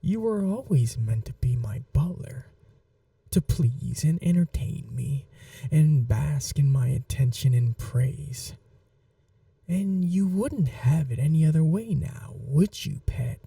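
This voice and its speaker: Woman playing a dark, slow-purring vampire mistress. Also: deep voice, expressive, gravelly, no echo, studio quality, recorded from a distance, heavily acted. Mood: possessive, adoring. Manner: condescending, purring, coaxing like an owner to a pet. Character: possessive, teasing female vampire mistress, sweetly cruel. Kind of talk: ASMR roleplay, slow intimate monologue.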